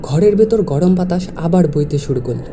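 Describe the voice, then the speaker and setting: Low-voiced woman narrating horror over music. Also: lively intonation, moderate pace, dark tone. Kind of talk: scripted first-person horror story narration. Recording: music behind the voice.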